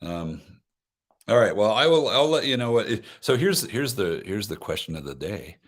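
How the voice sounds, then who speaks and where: A rambling man with a fairly low voice, chatting casually about concerts. He jokes a lot, restarts his sentences and talks over himself. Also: very animated, normal speed. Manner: rambling, self-interrupting, joking. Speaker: guest.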